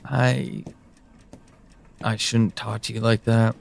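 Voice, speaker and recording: An actor playing an anguished, pleading, devoted man in an audio drama monologue, speaking haltingly and emotionally. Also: lowish voice, level tone, vocal fry, exaggerated voice. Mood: apologetic, tender. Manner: halting, hesitant, emotional, with sighs and pauses.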